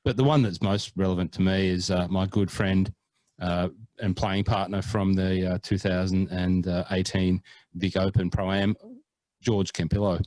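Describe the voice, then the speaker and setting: A man's voice: low voice, little pitch movement, a little slow, a little mellow, dry recording, low-quality recording. A man co-hosting a golf podcast in casual chat, playful and mock-earnest, hamming up foreign pronunciations. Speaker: podcast co-host.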